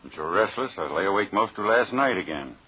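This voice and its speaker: An actor playing a worn-out, worried shipyard worker in a 1940s radio commercial, sounding plaintive and troubled. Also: male voice, on the low side, animated, brisk, husky. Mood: weary, worried. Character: weary, worried shipyard worker, anxious and baffled. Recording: dull, lo-fi old radio recording.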